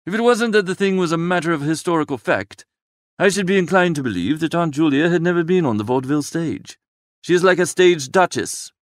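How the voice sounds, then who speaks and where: High-pitched, animated male storyteller. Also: a little fast, no room sound, clean studio sound. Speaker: audiobook narrator. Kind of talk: expressive first-person literary narration.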